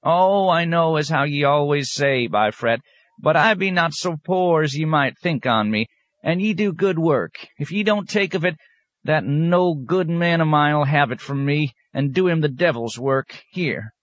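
A man's voice: fairly high pitch, lively intonation, measured pace, fairly bright tone, dry recording, highs cut off, pitched above the performer's own voice. A man voicing an old, toothless tomato woman who drawls in rustic, old-fashioned speech. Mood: wheedling.

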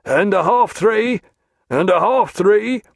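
A man's voice: high-pitched, expressive, slow, gravelly, dry recording, studio quality, voice pushed up, exaggerated voice. A man voicing a male sailor who chants depth soundings in a sing-song call. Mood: chanting.

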